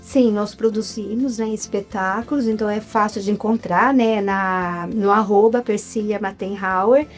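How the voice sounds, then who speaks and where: A woman with a Brazilian Portuguese accent, a guest chatting in a relaxed, animated way in an interview. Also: medium pitch, normal speed, hardly any pauses, soft background music. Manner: animated, chatty, frequent tag questions. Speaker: guest, writer and actress.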